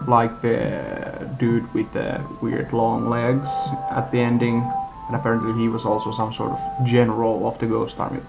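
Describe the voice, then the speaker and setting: A man giving an unscripted, casual movie-review rant in a halting, blunt way, in a homemade recording. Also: medium pitch, completely flat delivery, vocal fry. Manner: halting, rambling delivery. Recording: homemade, radio music playing behind. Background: music from a live radio.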